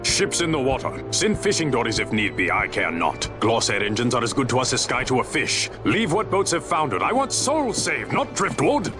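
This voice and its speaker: A man voices a commanding sky pirate from a fantasy game. He is very deep, brusque and theatrical, with old-fashioned phrasing. A loud score plays behind him. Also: moderate pace, over music, lightly acted. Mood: urgent, commanding. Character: gruff, blunt, commanding sky pirate fleet leader. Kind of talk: dramatic video game cutscene acting.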